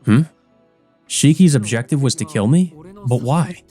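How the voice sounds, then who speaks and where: A man voicing an earnest, resolute teenage boy from a visual novel, measured and determined. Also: medium pitch, expressive, warm tone, faint music behind. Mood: puzzled. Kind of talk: visual novel character reading.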